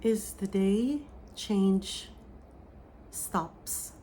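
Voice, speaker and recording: A woman with a Filipino accent, a psychic medium giving an oracle reading and channeling a message in a slow, soft, meditative way. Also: mid-range voice, a little hiss or hum. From Philippines.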